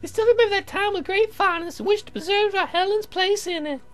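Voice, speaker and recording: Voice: extremely high pitch, fairly quick, pitched above the performer's own voice. A woman voicing a gracious, protective old sea captain's wife, polite and old-fashioned, for a visual novel game. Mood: genteel.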